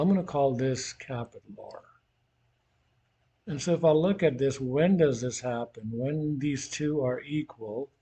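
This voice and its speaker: A man's voice: mid-range voice, dark tone, husky. A man teaching in an informal, conversational way, talking through a worked physics problem as if thinking aloud.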